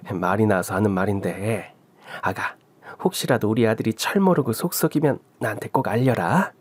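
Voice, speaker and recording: A man voicing a warm, chatty older mother-in-law who dotes on her new daughter-in-law in a radio drama story. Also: medium pitch, no room sound, close to an everyday voice. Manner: doting, teasing, protective.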